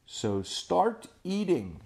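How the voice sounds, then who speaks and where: A man voicing a stern but fair old woman witch, speaking in a firm, measured way. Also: sits high, sing-song, vocal fry, a little mellow, pitched above the performer's own voice, heavily acted.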